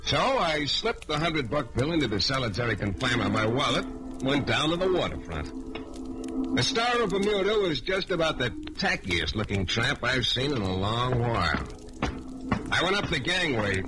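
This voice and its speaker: Actor playing a wry hard-boiled detective, swinging delivery. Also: male voice, medium pitch, big pitch swings, moderate pace, music in the background, close to an everyday voice. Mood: wry narration. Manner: sardonic, colloquial, hard-boiled. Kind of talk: 1940s radio detective acting and narration. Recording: old radio transcription recording.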